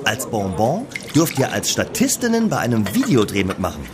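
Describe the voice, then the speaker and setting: An actor playing a friendly, upbeat music video director in a German children's audio drama, chummy and eager. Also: male voice, lowish voice, huge pitch swings, normal speed, a crowd in the background.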